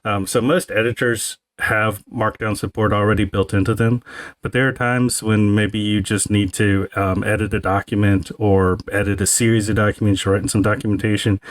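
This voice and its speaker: A man with a slightly low voice co-hosts a podcast. He explains things in casual talk with halting pauses mid-sentence, recorded close and dry. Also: no room sound. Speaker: podcast co-host.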